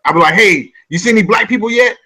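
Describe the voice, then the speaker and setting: A man's voice: high voice, quick pace, a crowd in the background. A man from the Midwest hosting a casual chat, loose and joking. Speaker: host.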